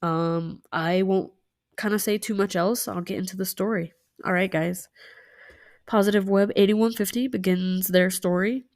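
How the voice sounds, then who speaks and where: A woman's voice: mid-range voice, steady tone, normal speed, darkish tone, no room sound, clean studio sound. A young woman chatting casually with many fillers and asides while telling a story time over a nail tutorial.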